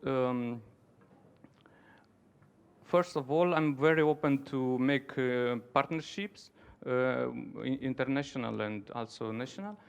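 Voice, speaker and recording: A man's voice: medium pitch, slow, smooth. A man from Romania with a Romanian accent, speaking non-native English in an informal conference talk, searching for his words as he goes. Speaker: dance centre founder, conference participant.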